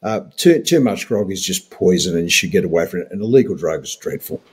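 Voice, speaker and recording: Older Australian man, low slightly husky voice. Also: animated, quick pace. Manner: emphatic, opinionated, wry humour. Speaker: doctor and podcast co-host. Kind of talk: health podcast discussion.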